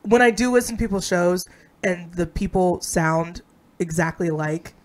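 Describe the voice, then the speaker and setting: Woman, low husky sick voice, stuffy and hoarse, outspoken ranting co-host, casual podcast chat. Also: steady tone, normal speed.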